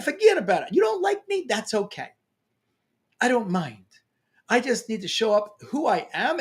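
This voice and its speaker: Lively New York man giving a pep talk. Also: very high-pitched. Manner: energetic, emphatic, playful. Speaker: LinkedIn coach. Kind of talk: motivational talk to camera.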